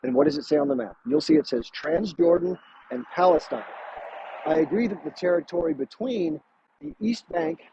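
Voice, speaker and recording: A man hosting a live stream, arguing forcefully with a caller and talking over him. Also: fairly high pitch, expressive, normal speed, creaky. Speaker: livestream host. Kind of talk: heated live debate. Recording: muffled live stream with background noise.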